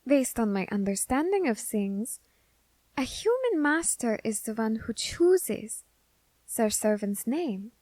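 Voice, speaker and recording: Woman doing a nerdy eager grim reaper for asmr roleplay, highish voice, really unhurried, fascinated but kinda threatening, close dry sound. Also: sing-song, darkish tone, no echo. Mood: curious. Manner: fascinated, deliberate, politely threatening. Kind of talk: ASMR roleplay addressed to the listener.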